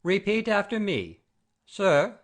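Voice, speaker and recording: A man's voice: high voice, very animated, takes it slowly, heavily acted. A man playing an imperious, pompous father-in-law obsessed with honour and rank in a classic comedy audio play. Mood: dictating. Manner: commanding, pompous, formal.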